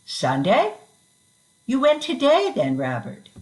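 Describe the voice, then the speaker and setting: A woman reading the part of a tender, grieving Victorian mother in a story, gentle and halting as she repeats words. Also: high-pitched, huge pitch swings, exaggerated voice. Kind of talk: dramatic reading of a classic story.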